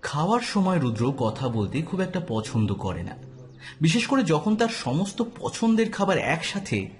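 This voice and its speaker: A man narrating a scripted detective story as an audio drama narrator. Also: medium pitch.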